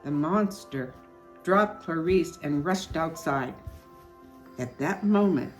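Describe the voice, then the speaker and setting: An older woman hosting story time, moving between animated storybook reading and casual chat. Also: sits low, slow pace. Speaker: storytelling host. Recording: music behind the voice.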